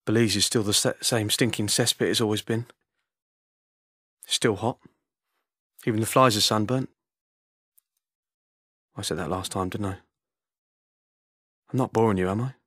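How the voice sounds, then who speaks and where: A man playing an awkward soldier far from home speaking to his wife, halting, self-deprecating and plain-spoken, in a naturalistic monologue. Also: fairly low pitch, fairly even intonation, fairly bright tone, slightly rough, no room sound, studio quality. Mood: awkward, wry. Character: homesick soldier husband awkwardly recording a message to his wife.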